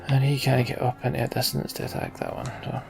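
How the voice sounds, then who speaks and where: A man's voice: medium pitch, huge pitch swings, quick pace, creaky, music in the background. A man giving casual commentary and story narration as host of a video game playthrough. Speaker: let's play host.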